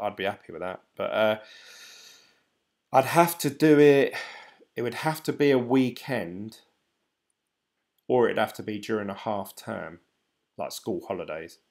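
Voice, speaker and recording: A British man in his thirties, a channel host, chatting casually and hesitantly to viewers on a late-night live stream, tired and a little croaky. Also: fairly low pitch. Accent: British English. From United Kingdom. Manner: rambling, hesitant, many ums and restarts. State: tired, voice getting croaky and dry. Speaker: crypto channel host reading live chat.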